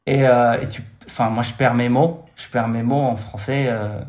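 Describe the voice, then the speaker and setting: A man's voice: medium pitch, steady tone, normal speed, a little mellow. A French man with a slight American-tinged accent, a guest chatting casually over a remote call, often losing his words and restarting. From France. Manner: searching for words, many restarts and fillers. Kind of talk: casual interview chat. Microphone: remote call over computer.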